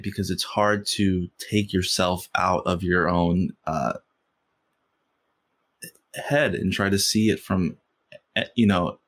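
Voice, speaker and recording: Lowish-voiced guy with a stutter, thinking out loud as a podcast guest, lots of "like". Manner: stutters, with repeated sounds and fillers; reflective, with many "like" and "you know". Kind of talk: casual interview chat.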